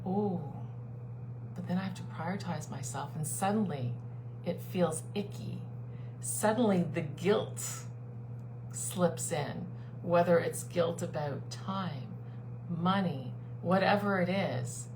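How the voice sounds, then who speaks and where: A woman's voice: fairly low pitch, slow. A woman life coach giving an earnest, persuasive live-streamed talk to her online group, repeating her key phrases. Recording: steady background hum.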